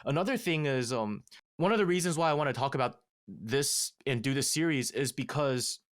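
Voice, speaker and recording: A man in his thirties telling a family story in casual podcast talk, measured and halting with frequent fillers, recorded on a studio mic in a small treated room. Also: medium pitch. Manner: measured, many "um" fillers and restarts. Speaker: podcast guest. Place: small home studio with acoustic foam panels. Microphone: studio mic with headphones.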